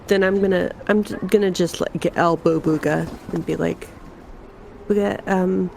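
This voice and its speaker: A woman's voice: lowish voice, steady tone, a little slow, gravelly, darkish tone, a little hiss or hum, low-quality recording. A woman chatting casually as a player in a tabletop roleplaying game.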